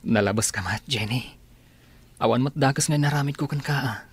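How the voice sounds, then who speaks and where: An actor playing a meek, gentle young suitor with an Ilocano accent, pleading in a radio drama. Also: male voice, medium pitch, brisk, slightly rough, no room sound. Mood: hurt, dismayed. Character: meek, hurt, lovestruck young male suitor. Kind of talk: Filipino radio drama acting.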